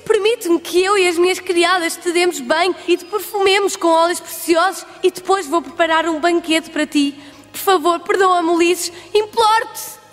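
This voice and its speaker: A woman's voice: very high-pitched, normal speed, faint music behind. A woman voicing a proud, seductive sorceress in a European Portuguese cartoon dub, with formal, theatrical delivery. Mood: pleading. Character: proud seductive sorceress, vengeful, then pleading. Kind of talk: dubbed cartoon acting. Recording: long hall reverb.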